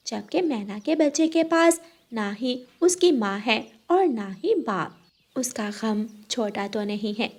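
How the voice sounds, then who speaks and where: Woman storyteller, quite high voice, reading a scripted kids cartoon story. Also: a little mellow. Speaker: story narrator. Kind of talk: scripted children's story narration.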